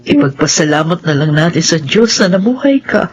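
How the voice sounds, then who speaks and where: A woman playing a middle-aged, bereaved woman who speaks gently and solemnly in a Filipino radio drama. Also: fairly low pitch, big pitch swings, fairly quick, slightly rough. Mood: sad, consoling. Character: forgiving, consoling bereaved older woman. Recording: dull lo-fi radio sound, faint noise.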